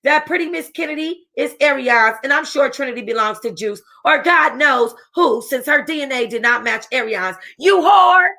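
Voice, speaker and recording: A woman voicing a spiteful woman who taunts and gloats, her voice rising high. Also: lively intonation, normal speed, creaky, hardly any pauses, bright, forceful tone, full character voice. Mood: gloating.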